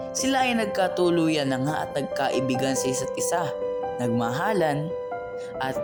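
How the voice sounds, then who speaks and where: A woman narrating a folk tale in a slow, drawn-out storytelling manner. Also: low-pitched. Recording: faint music behind.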